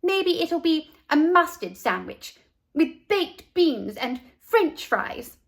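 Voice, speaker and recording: A woman voicing an excitable, impatient and nosy little schoolgirl, exclaiming as a storybook character. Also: extremely high pitch, takes it slowly, gravelly, voice pushed up, heavily acted. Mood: excited. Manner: exclaiming, emphatic.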